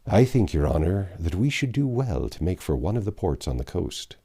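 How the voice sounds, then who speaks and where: A man's voice: sits low, sing-song, moderate pace, mellow, breathy edge, full character voice. A man voicing a deferential but hot-tempered sea pilot in a storybook reading, exclaiming emphatically. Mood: anxious, deferential.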